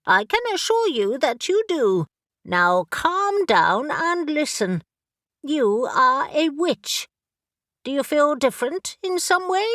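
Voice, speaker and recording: A woman's voice: high-pitched, sing-song, slow, a bit bright, no echo, studio quality, pitched above the performer's own voice, exaggerated voice. A woman voicing an impatient talking raven that scolds and commands, in a storybook reading.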